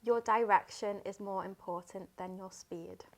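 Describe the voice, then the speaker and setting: A woman in her thirties co-hosting a podcast, chatting casually as an animated storyteller who laughs often. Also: mid-range voice, a little slow. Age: 30s. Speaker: podcast co-host. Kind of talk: casual chat.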